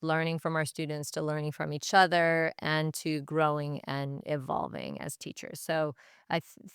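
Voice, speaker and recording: A woman with a low voice that stays clear and resonant. She talks reflectively, like an educator being interviewed, working through her thoughts in repeated, restarted phrases. The sound is close and dry. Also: no echo. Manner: thoughtful, stop-and-start phrasing with repetition. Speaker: teacher and language department head, podcast guest.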